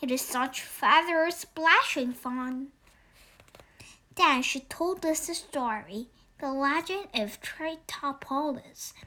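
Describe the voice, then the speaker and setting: A woman's voice: high-pitched, slow pace, pushed and bright. A young girl of about eight reading a children's story aloud, earnest and careful, with occasional stumbles. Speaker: young reader of a children's book read-aloud. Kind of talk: expressive storybook read-aloud narration.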